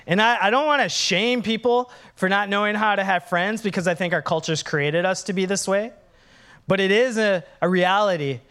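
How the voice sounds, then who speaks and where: Guy preaching, kinda high voice, jokey and blunt, acts out little back-and-forths. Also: normal speed, smooth. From Minnesota, USA. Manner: animated, candid, humorous, acts out dialogues. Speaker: pastor. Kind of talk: sermon.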